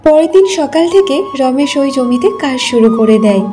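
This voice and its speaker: A woman's voice: high-pitched, animated, moderate pace. A woman storyteller reading scripted narration for a cartoon folk tale. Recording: music behind the voice.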